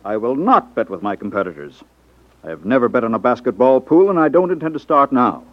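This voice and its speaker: A self-important, brusque businessman acted by a man from a vintage radio play. He is officious and short on patience, his pitch jumping about, in a dry, muffled old recording. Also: very animated, brisk, no echo, close to an everyday voice. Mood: exasperated. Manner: brusque, officious, pompous diction. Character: pompous, impatient male racket boss posing as publisher. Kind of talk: 1950s radio drama acting.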